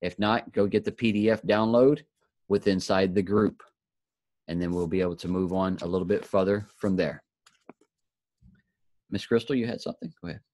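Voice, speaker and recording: A man's voice: low-pitched, steady tone, moderate pace, long pauses, a little mellow, dry recording. A man speaking informally and encouragingly to a group as the host of a motivational session.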